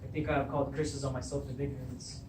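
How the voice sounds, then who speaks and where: A man's voice: medium pitch, level tone, quick pace, music in the background, natural voice. A young man acting a troubled, hot-tempered king in a readers theatre Greek tragedy, full of fear and guilt. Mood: shaken, dread. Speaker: student actor. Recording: distant echoey room recording with background noise.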